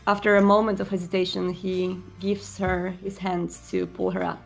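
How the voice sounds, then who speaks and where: Female roleplay narrator, heavy vocal fry, improvised third-person storytelling with halting phrasing. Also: fairly low pitch, little pitch movement, soft background music. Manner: halting, pausing mid-sentence. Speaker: roleplay game player narrating own character.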